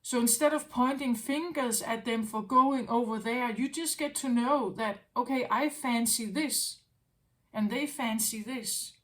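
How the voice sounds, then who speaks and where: A woman's voice: on the high side, measured pace, rough recording. A woman talking as a tarot reader in an unscripted spiritual monologue, musing aloud, repeating her phrases and often checking in with "right?".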